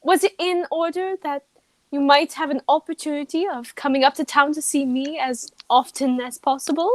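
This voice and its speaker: A woman's voice: high-pitched, steady tone, normal speed, no room sound, lightly acted. A woman acting a haughty, dignified young society lady in a radio play comedy, speaking in a formal, declarative way. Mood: grand, decisive.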